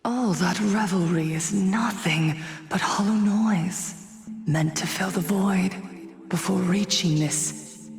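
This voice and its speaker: A woman playing an eerie, seductive masked prophetess in a video game cutscene, with a breathy, hypnotic delivery that often sinks toward a whisper. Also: medium pitch. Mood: hypnotic, coaxing. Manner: slow, hushed, hypnotic, savoring each phrase. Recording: voice over prominent music.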